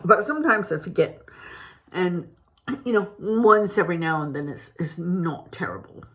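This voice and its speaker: A woman's voice: on the low side, lively intonation, normal speed, fairly bright tone, breathy edge. A woman who grew up in Malaysia, chatting to camera at home in a rambling, casual way with many restarts. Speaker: beauty vlogger. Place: home, indoors.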